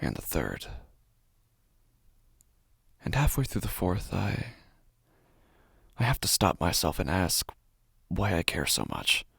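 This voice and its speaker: Horror audio drama: male actor for a sleepless, obsessive man, halting and uneasy, gravelly, highly animated intonation. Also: no echo, clean studio sound, full character voice. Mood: obsessive unease. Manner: halting, hushed, often breaking into whispers. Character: anxious, obsessive man narrating his sleepless nightmares. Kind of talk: first-person horror audio fiction monologue.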